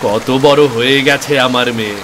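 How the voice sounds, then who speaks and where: A man voicing a gentle, ailing husband and father in a cartoon moral story, tender and trusting. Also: mid-range voice, normal speed, noisy background, lightly acted. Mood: fond, concerned. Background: music.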